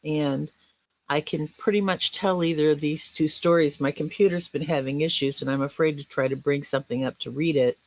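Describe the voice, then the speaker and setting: A woman's voice: low-pitched, fairly even intonation, fairly quick, no room sound. A woman chatting casually on a podcast, self-effacing and easygoing in how she talks with the others. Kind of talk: casual chat.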